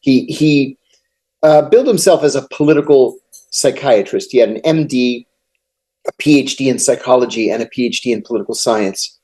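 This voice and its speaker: A middle-aged American man, a guest on an interview podcast, telling long anecdotes in a measured way. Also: medium pitch, a little mellow. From United States. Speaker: guest, former intelligence officer. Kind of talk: storytelling interview answers.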